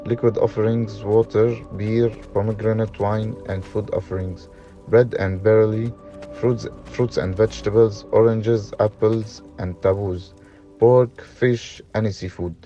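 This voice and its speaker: A man reading scripted documentary narration in a halting way, with stumbles, on a dull lo-fi recording. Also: lowish voice, fairly even intonation, mellow, soft background music. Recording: dull, lo-fi sound.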